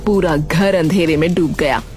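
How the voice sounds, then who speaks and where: A woman reading expressive scripted narration for a cartoon story. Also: sits low, moderate pace, faint music behind.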